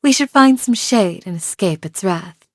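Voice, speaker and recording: Woman acting a calm, devoted desert guardian. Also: mid-range voice, dry recording, close to an everyday voice. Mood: musing. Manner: measured, formal, gently solemn. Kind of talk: scripted game character voice lines.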